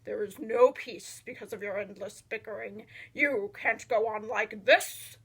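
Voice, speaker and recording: Storybook toad, male, stern and weary, grave scolding delivery, pitched down , played by a woman. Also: measured pace, creaky, fairly bright tone, breathy edge, exaggerated voice. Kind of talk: children's storybook character reading.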